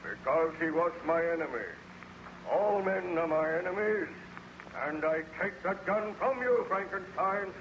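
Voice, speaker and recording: A man's voice: high-pitched, measured pace, a bit bright. A male actor playing a bitter, wretched man-made monster in an old-time radio horror drama, declaiming in a formal, theatrical manner. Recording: muffled, very noisy old radio recording.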